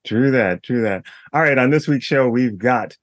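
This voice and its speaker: A man hosting a podcast in casual chat, his voice raspy, laughing often and joking easily. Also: medium pitch, lively intonation, moderate pace, no room sound. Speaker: podcast host.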